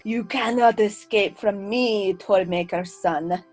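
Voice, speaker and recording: A woman's voice: mid-range voice, slow pace, a little mellow, soft background music. An actress playing a wicked, menacing snow queen in an audio drama trailer.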